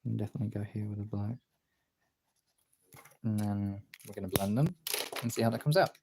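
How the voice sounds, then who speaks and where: Gravelly man chatting casually while drawing. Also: lowish voice, a little fast, noisy background. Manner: informal, rambling, thinking aloud. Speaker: streamer, artist.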